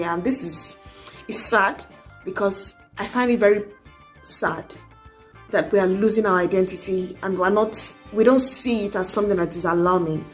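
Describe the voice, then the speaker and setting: Woman, young adult, earnest, exhorting vlog talk, middle-pitched voice. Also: darkish tone. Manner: earnest, exhorting, frequent "you know". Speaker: vlogger. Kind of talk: casual advice vlog to camera.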